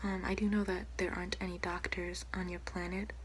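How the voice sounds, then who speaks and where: Young female, ASMR doctor roleplay. Hushed and breathy, very even intonation, polite and unhurried, noisy home recording. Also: on the low side. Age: young adult. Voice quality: soft, breathy, often whispering. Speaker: ASMR creator playing a doctor. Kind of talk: ASMR roleplay, soft-spoken and whispered. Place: small room at home, indoors. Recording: noticeable background hiss, home recording.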